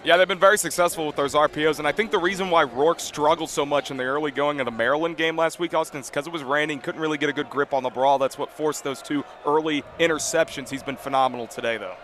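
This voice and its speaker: A man's voice: fairly high pitch, fast, few pauses, a bit bright, a little hiss or hum. A man giving conversational, emphatic color analysis on a live football radio broadcast, repeating phrases as he explains.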